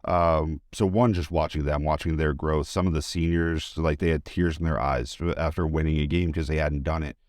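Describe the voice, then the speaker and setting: A man with an extremely deep, clean, resonant voice talks as a guest in a relaxed interview. He is informal and chatty, sharing personal anecdotes with enthusiasm. The sound is dry, with hardly any room to it. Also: normal speed, hardly any pauses, no echo. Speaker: interview guest, high school teacher and sports announcer. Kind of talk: casual conversational interview, anecdotes.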